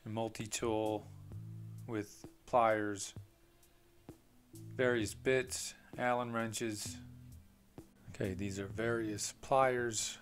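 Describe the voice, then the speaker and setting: A man's voice: on the low side, fairly even intonation, drawn-out words, long pauses, soft background music. A man, a plumbing contractor, walks through his tools off camera, talking plainly and unhurriedly with many "uh" fillers. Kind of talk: off-camera tool walkthrough.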